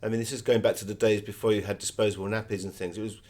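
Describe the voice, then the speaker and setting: A man's voice: on the low side, steady tone, racing, a little mellow. A middle-aged British man being interviewed as an author, telling anecdotes in a conversational way.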